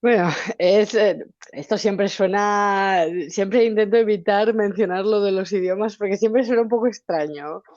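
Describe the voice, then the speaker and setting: Chatty young Spanish woman, mellow voice. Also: mid-range voice, unhurried, dry recording, highs cut off. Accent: Valencian Spanish. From Spain. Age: young adult. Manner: animated, chatty, restarts often. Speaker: interview guest, student. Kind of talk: casual interview conversation.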